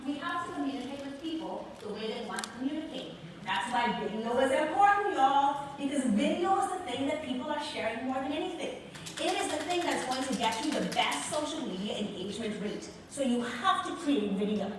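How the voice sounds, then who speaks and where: A woman's voice: sits high, expressive, a little fast. A woman with a Bahamian accent giving an emphatic motivational stage speech, stretching key words for effect. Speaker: motivational speaker and show host. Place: large venue on stage with live audience. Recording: very echoey stage sound, distant, noisy.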